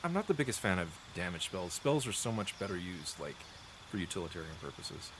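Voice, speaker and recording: A man's voice: low-pitched, big pitch swings, brisk, fairly bright tone, slight background noise. A man explaining game spells as he plays, rambling and correcting himself, with a dry throat and frequent coughs, close to a desk mic. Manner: chatty, rambling, restarts and self-corrections, thinks aloud. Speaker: gameplay streamer. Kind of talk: explaining game mechanics while playing.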